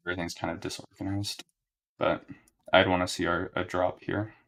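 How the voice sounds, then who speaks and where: A young man giving live trading commentary to his chat in a relaxed, monotone way, thinking aloud and often checking in with a "right?". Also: a little fast, vocal fry, slight background noise. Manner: monotone, relaxed, low-key. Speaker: day trader streaming live.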